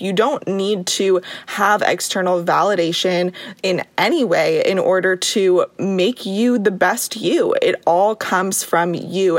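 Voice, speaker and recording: A young woman hosting a motivational podcast, chatty and encouraging, her voice a bit raspy from a sore throat. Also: mid-range voice, no echo. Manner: warm, encouraging, chatty with laughs. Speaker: podcast host. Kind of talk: upbeat motivational podcast talk.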